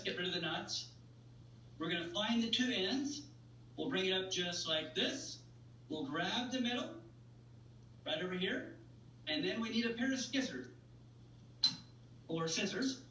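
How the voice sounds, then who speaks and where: Male magician guest, high-pitched and playful, folksy patter, distant mic with faint noise. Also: lively intonation, moderate pace, long pauses, bright, forceful tone, echoey room. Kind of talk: chatty magic demonstration and interview.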